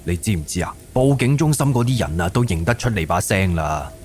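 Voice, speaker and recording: A man's voice: low voice, animated, a little fast, a little mellow. A man acting an exasperated middle-aged police captain in a Cantonese audio drama, with a drawling, scolding manner. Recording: faint background noise.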